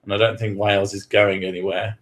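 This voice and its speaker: Man, from Britain, host, casual chat, joking and swearing, lower pitch. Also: flat delivery, fairly quick, a bit bright. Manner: joking, swears freely, laughs often. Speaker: podcast host answering questions.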